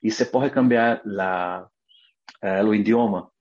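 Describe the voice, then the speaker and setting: A man's voice: fairly low pitch, slow pace, a little mellow, highs cut off. Earnest Brazilian man speaking Spanish. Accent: Brazilian Portuguese. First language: Portuguese. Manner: earnest, motivational, speaks in short emphatic phrases. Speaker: naturopath guest. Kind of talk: video interview.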